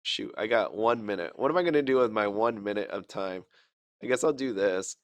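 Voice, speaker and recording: A man's voice: fairly low pitch, expressive, fairly quick, clear, resonant tone, no echo. A sick man rambling through casual gameplay commentary, chatty and self-deprecating, with lots of fillers and restarts. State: sick, fighting a cough. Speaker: gaming channel host.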